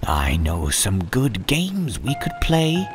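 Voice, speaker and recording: A man's voice: fairly low pitch, huge pitch swings, slow, music in the background, voice pushed up, exaggerated voice. A man voicing a mischievous, menacing cat trickster in a theatrical, gleeful way for a spooky storybook. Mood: sly, inviting. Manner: theatrical, gleeful, chuckling between phrases. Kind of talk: spooky storybook read-aloud.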